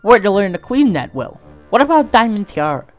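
A man's voice: high voice, sing-song, fairly quick, soft background music. A man voicing a gushing, eager, nerdy young schoolgirl filly in a parody cartoon dub.

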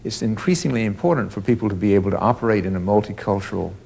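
Measured middle-aged man, university leader. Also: on the low side, vocal fry, darkish tone, husky. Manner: measured, stately delivery with some hesitations. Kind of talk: formal address to camera.

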